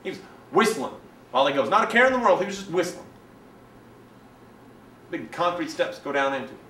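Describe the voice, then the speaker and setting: A man performing a dramatic monologue as a self-righteous, resentful young man, telling his story in a halting, colloquial, confiding way. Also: a little high, sing-song, pushed and bright. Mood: indignant. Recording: echoey room, distant mic, faint noise.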